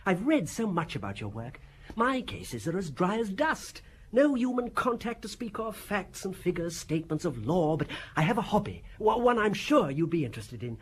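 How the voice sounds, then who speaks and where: An actor playing a courteous, obsessive middle-aged gentleman of the law in an audio drama, talking in an eager, effusive, theatrical way. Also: high-pitched, big pitch swings, moderate pace, slight background noise. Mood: effusive, fervent.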